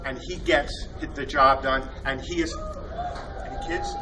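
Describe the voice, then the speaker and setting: A man's voice: fairly high pitch, wildly swooping pitch, a little slow, a crowd in the background. Enthusiastic man hosting a convention panel. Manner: jokey, enthusiastic, rambling with fillers. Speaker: panel host introducing team. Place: convention hall. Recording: distant mic with loud room noise.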